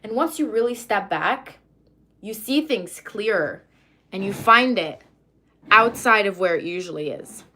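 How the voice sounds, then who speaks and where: Woman streamer, relaxed and rambling, chats with viewers while doing her makeup at home. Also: medium pitch, a little slow, a little hiss or hum. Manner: chatty, rambling, many fillers and asides. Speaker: livestreamer answering chat. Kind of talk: casual livestream chat while doing makeup.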